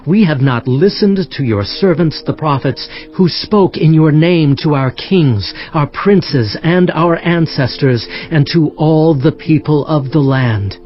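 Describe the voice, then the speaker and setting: An actor playing an earnest, solemn prophet, narrating dramatized scripture expressively. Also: male voice, a little high, a little slow, few pauses, natural voice. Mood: penitent, earnest. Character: prophet Daniel, earnest solemn man recounting visions. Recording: dull audio under background music.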